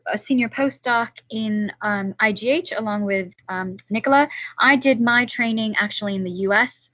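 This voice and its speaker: A woman's voice: medium pitch, bright, forceful tone, dry recording. Hesitant woman postdoc with many ums. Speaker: senior postdoc. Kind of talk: podcast panel discussion.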